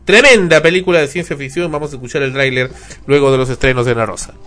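A man's voice: medium pitch, quick pace, a bit bright, soft background music. A man co-hosting a casual radio talk show, repeating himself and joking as he goes.

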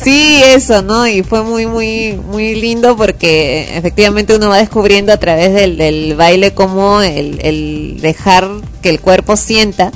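Slow-talking laughing female co-host. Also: medium pitch, faint music behind. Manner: laughs often, hesitant restarts. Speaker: radio co-host. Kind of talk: casual radio chat.

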